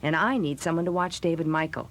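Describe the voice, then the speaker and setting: A woman's voice: sits low, fast talker, natural voice. A woman playing a patient, busy mother in a children's audio drama. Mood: patient, coaxing.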